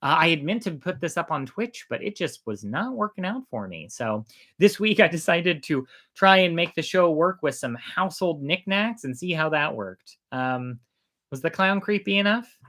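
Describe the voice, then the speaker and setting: Folk-tale storyteller, show host, playful, conversational, informal, swooping expressive pitch. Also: normal speed.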